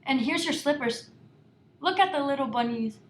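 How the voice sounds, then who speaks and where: A woman's voice: sits high, animated, normal speed. A woman playing an anxious, over-attentive housewife with chirpy, fussing chatter in a radio parody of mid-century stage drama. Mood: anxiously cheerful. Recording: echoey room with noisy ambience.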